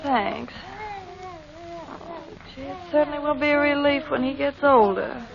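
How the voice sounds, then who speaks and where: A woman's voice: high voice, unhurried, vocal fry. An actress playing a restless, self-centered wife and reluctant mother in an old-time radio drama. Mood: weary. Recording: muffled old radio recording.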